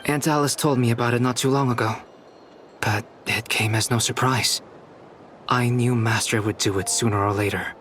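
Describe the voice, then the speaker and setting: A man playing a calm, soft-spoken young scholar, an artificial human in a video game, speaking formally and reflectively. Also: mid-range voice, a little hiss or hum, natural voice. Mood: calm, thoughtful. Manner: measured, reflective, formal diction. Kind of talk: scripted video game dialogue. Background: background music.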